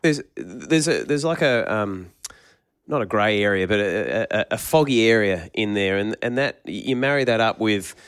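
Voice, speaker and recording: A man's voice: lowish voice, lively intonation, normal speed, fairly bright tone, clean tone, no echo, studio quality. A man asking long, probing interview questions, hesitant as he searches for words.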